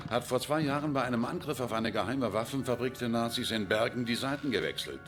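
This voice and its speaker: An actor playing a stern, commanding British general giving grave briefings in a war game cutscene. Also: male voice, mid-range voice, expressive, fast, music in the background, close to an everyday voice. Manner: authoritative, grave. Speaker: video game character in cutscenes.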